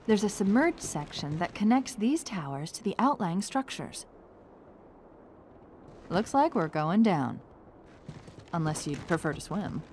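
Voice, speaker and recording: A woman playing a brisk, wry military AI companion in a video game, speaking in a crisp, analytical way. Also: fairly low pitch, big pitch swings, noisy background. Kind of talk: video game cutscene acting. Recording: loud music behind voice. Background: loud music.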